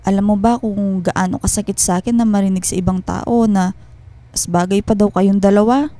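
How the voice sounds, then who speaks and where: A woman acting in a radio drama as a hurt, jealous wife, emotional, halting and accusing. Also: medium pitch, fairly even intonation, warm tone, no echo, lightly acted. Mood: hurt, suspicious. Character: hurt, jealous wife confronting her husband. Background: background music.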